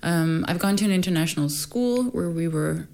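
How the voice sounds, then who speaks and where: A woman from Sweden, a workshop teacher talking in a soft, thoughtful way with many pauses. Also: lowish voice. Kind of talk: casual conversation.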